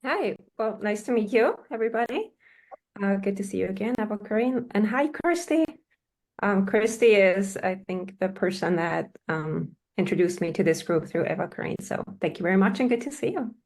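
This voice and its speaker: A woman's voice: medium pitch, lively intonation, normal speed, darkish tone. A woman from Switzerland, a health and wellness coach introducing herself in friendly, fluent talk with frequent fillers. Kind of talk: self-introduction at an online networking meeting.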